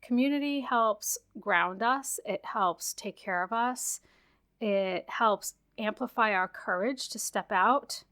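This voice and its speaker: A woman's voice: mid-range voice, slow pace, a bit bright. A woman hosting a self-care podcast, talking casually with frequent fillers and an encouraging tone, her voice a bit worn after a virus. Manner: chatty, many "like" fillers, encouraging. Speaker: podcast host. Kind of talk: casual solo podcast talk.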